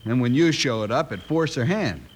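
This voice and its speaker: A man's voice: medium pitch, expressive, moderate pace, slightly rough. An actor playing a worried, protective rancher father held captive in a 1950s radio western. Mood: weary, worried. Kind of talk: 1950s old time radio western acting. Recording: faintly noisy old radio recording.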